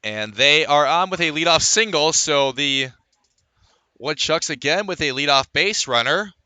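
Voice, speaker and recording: A man's voice: a little high, animated, a little slow, bright, forceful tone, no echo. An American man calling a baseball game as a live radio play-by-play broadcaster. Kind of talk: live radio sports commentary.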